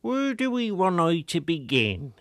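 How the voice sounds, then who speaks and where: A man's voice: sits high, very animated, unhurried, fairly bright tone, dry recording, studio quality, pitched above the performer's own voice, full character voice. A man voicing a ninety-year-old country gardener in an audio drama, with a Wiltshire accent and rustic dialect grammar. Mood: rustic, unhurried.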